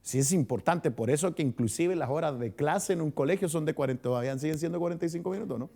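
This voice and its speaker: A Chilean man with a raised, gravelly voice teaching a church Bible study. He talks loosely, circles back on his words and keeps asking the congregation questions. The effect is casual and chatty. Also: on the high side, fast talker, mellow. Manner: chatty, asks the congregation questions, frequent restarts. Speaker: pastor. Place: church hall.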